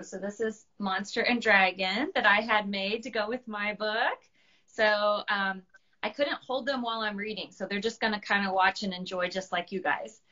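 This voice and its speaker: A woman's voice: mid-range voice, bright, forceful tone. An American woman speaking as a teacher and author, reading a storybook aloud to children over a livestream. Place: at home, on a live video stream. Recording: slightly dull livestream audio.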